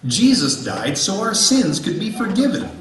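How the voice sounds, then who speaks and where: Casting: man as patient, instructive male puppet co-host, very animated intonation, echoey room. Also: high-pitched, moderate pace. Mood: earnest teaching. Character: earnest male puppet co-host answering Bible questions. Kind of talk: scripted puppet comedy dialogue. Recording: roomy echo, distant from microphone.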